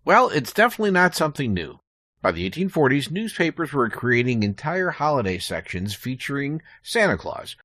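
American male guest, informative storyteller, animated intonation, dry studio-like sound. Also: mid-range voice, fairly quick, dry recording. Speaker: podcast guest. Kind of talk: prepared informative storytelling, conversational.

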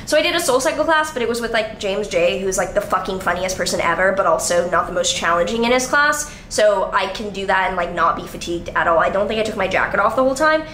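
A young woman in her twenties talks in a high, bright voice, rambling casually with frequent fillers and the odd swear word. She holds the camera at arm's length in her apartment. The room sounds roomy, with a faint hum of ambience behind her. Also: expressive, quick pace, few pauses. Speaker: vlogger. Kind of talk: casual vlog chat. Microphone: handheld camera at arm's length.

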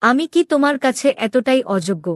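Woman acting proud, hurt young princess, higher-pitched. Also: expressive, normal speed, no room sound, studio quality. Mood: hurt, demanding. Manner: demanding, emotional questioning. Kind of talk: dramatic Bengali animated story dialogue.

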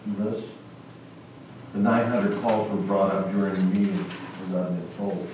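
Male council member, lowish voice, questioning, in a very echoey room. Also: steady tone, unhurried, darkish tone. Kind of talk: commenting and questioning at a meeting. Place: small council chamber, indoors. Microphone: distant camera microphone. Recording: echoey, noisy room from far off.

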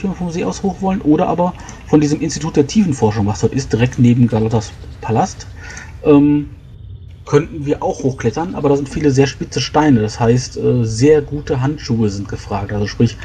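Mellow-voiced man at a role-playing game. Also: medium pitch, expressive, a little fast, a little hiss or hum. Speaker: tabletop role-playing player. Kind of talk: in-character planning talk, improvised.

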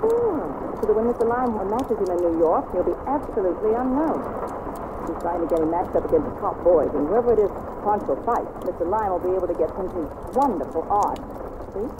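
A woman's voice: medium pitch, sing-song, fairly quick, phone-quality sound, natural voice. An actress playing an eager, scheming young woman in a vintage radio drama, coaxing and confiding as she talks. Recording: old radio recording under loud rain ambience. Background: rain, thunder and crackling fire.

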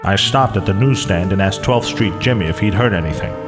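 An actor playing a hard-boiled, wisecracking private eye, narrating a mock radio noir in a deadpan, clipped tough-guy way. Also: on the low side, a little fast, close to an everyday voice. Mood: deadpan, world-weary. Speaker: detective narrator. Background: music.

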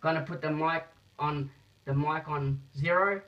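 Higher-voiced man demonstrating step by step, muffled. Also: fairly even intonation. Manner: deliberate, halting, repeats phrases. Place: small indoor room. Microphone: phone camera held nearby. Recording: muffled phone video sound.

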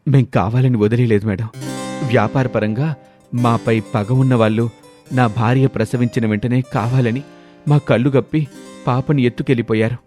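A man's voice: medium pitch, steady tone, moderate pace, darkish tone, faint music behind, close to an everyday voice. Man acting an anxious, pleading father. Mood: defensive. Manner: polite, urgent. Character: anxious pleading younger father, slyly persuasive. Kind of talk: dramatised moral story.

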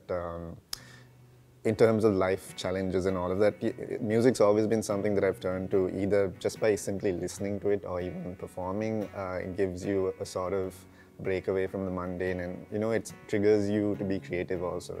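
A man's voice: sits low, warm tone. A man, a singer-songwriter talking reflectively and casually as an interview guest. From Sri Lanka. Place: large brick-walled room with big windows, indoors. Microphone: lapel mic. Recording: music behind the voice. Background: guitar music.

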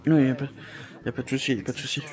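A man streaming a VR roleplay, joking loudly and laughing often. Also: medium pitch, flat delivery, rapid-fire, a crowd in the background. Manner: joking, loud, bursts of laughter. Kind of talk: playful in-character roleplay banter. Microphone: VR headset mic.

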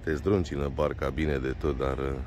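A man's voice: very deep voice, steady tone, a little mellow. A man hosting a fishing vlog, talking casually to the camera from a sailboat outdoors, in short descriptive phrases with hesitant fillers. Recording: noisy outdoor recording.

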